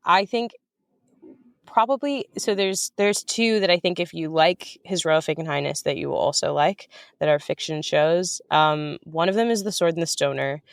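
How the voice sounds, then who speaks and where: An American woman with a smooth, clean voice, recorded close and dry, chats informally as an interview guest. She is enthusiastic and wanders through asides as she talks about her work. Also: low-pitched, normal speed, no echo. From United States. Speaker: writer-director guest. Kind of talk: casual interview chat.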